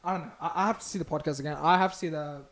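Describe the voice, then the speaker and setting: A young Australian man with a high-pitched voice chats as a podcast host. He is excitable and loose, throwing in "bro" and swearing, and laughs often. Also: very fast. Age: young adult. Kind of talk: casual chat.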